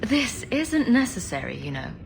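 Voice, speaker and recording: An actress playing a diplomatic female adventurer in a video game, composed, courteous and gently questioning. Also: fairly high pitch, sing-song, normal speed, vocal fry, faint music behind. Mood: calm probing. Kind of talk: video game cutscene acting.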